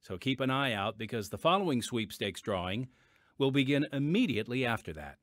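An American man hosting a devotional podcast in scripted narration, with measured, emphatic, dramatic phrasing. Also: medium pitch, sing-song, a bit bright, no echo, clean studio sound. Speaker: podcast host and preacher.

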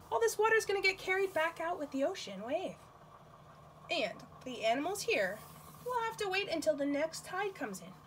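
A woman teaching nursery school, reading a picture book aloud to young children in an expressive, questioning manner, with exclamations. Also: sits high, slight background noise, low-quality recording.

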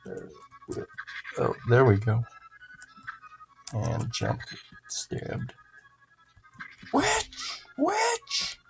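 A man's voice: mid-range voice, drawn-out words, vocal fry, long pauses, a little mellow. A man giving casual, rambling gameplay commentary, swearing and muttering to himself as he plays. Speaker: gaming video host. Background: video game music.

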